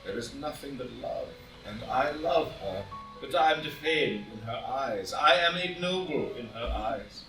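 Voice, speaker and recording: A man's voice: medium pitch, expressive, unhurried, bright, forceful tone. A man playing a proud, tormented statesman full of shame, melodramatic and declamatory in a staged play reading. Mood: anguished, despairing. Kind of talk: stage play read aloud as audio drama. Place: indoor room, live in-person reading. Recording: roomy live reading, distant mic, music.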